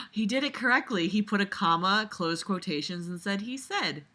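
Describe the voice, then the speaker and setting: A woman's voice: mid-range voice, expressive, moderate pace, pushed and bright. A woman giving casual, sarcastic commentary as she reads a book aloud, blunt and exasperated, swearing freely. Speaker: host reading a book aloud and critiquing it. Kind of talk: casual commentary mixed with mocking read-aloud.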